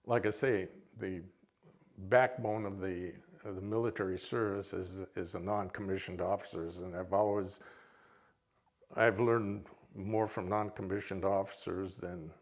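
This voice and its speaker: An older man with a Minnesota accent, informally reminiscing to an audience as a veteran in a rambling, folksy way. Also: on the low side. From Minnesota, United States. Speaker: military veteran, guest speaker.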